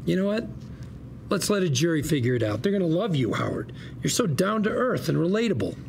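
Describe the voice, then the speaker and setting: Indignant lawyer, high creaky voice, sarcastic, theatrical, combative, slight room noise. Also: male voice, big pitch swings, brisk, darkish tone. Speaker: actor at a script table read. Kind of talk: acted script read at a table.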